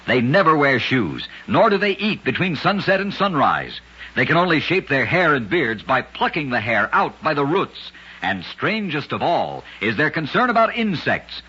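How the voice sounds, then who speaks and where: A man with a husky voice giving dramatic scripted narration for an old radio show. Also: a little high, bright, forceful tone. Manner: dramatic storytelling delivery. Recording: dull lo-fi old radio recording.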